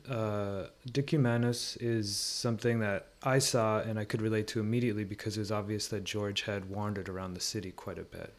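A man speaking in a thoughtful, conversational discussion, halting and reflective as he searches for words. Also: fairly low pitch, steady tone.

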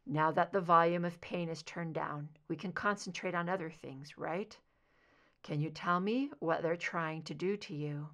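A male agent speaking low and soft, soothing his partner through a painful hypnosis session. His voice is hushed and steady, as a woman narrator voices him. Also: moderate pace. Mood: tender. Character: gentle anguished male agent guiding hypnosis.

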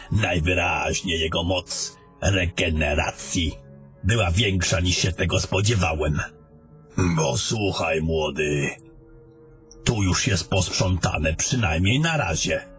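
A man's voice: deep voice, takes it slowly, a bit bright, soft background music. A man playing a scornful, domineering battle mage in a fantasy audio drama, with a commanding and coarse way of talking. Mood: matter-of-fact.

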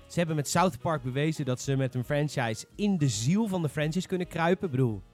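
A man's voice: fairly high pitch, expressive, brisk, darkish tone, soft background music. A man in a casual, opinionated gaming discussion, emphatic, repeating phrases and swearing. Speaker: gaming podcast panelist.

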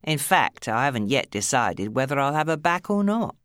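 A woman's voice: rumbling low, very animated, moderate pace, dry recording. A menacing club boss, acted by a woman reading an audiobook. He sneers with smug contempt and hisses when crossed. The recording is close and dry. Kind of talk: audiobook character acting.

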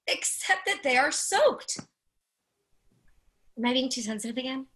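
A woman's voice: high-pitched, lively intonation, a little slow, fairly bright tone, heavily acted. A woman playing a sensitive, anxious office worker in a play reading, with a fretful, hesitant delivery. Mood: worried.

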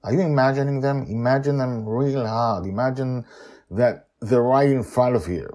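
A man voicing a calm young man who reassures a frightened stranger, in a storybook reading. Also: mid-range voice, normal speed, pitched above the performer's own voice, close to an everyday voice. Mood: coaxing. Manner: reassuring, coaxing.

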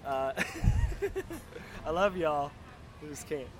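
A middle-aged man hosting a casual podcast chat, speaking effusively and haltingly, often repeating his words. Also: high-pitched, huge pitch swings. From northern United States, grew up partly in the South. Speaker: podcast host. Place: outdoors at a pizza place. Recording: noisy outdoor spot with traffic.

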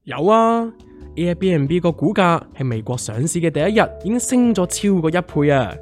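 Man acting a wry pal with lively intonation. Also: sits high, normal speed, soft background music. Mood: cautioning. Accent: Hong Kong Cantonese. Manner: exaggerated, exasperated. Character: wry, sceptical big-guy pal who urges caution. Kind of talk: comic radio drama acting.

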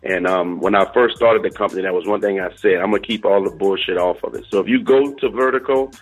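A man's voice: low voice, fast, soft background music, poor audio. A middle-aged man, talking informally as an interview guest on a radio call, peppering his talk with "you know". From New Orleans, American South. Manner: informal, uses "you know" and "bro" often. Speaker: interview guest, DJ and company owner.

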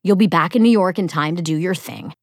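A woman's voice: fairly low pitch, fairly quick, no room sound, studio quality. A woman voicing an insistent, coaxing family man in an audiobook, persistent and matter-of-fact in manner. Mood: persuasive.

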